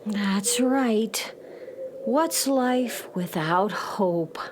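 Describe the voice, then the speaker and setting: A woman playing a gentle, patient old swamp alien grandmother in a children's audio drama, speaking graciously and hopefully. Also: mid-range voice, expressive, very slow, a little hiss or hum. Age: older.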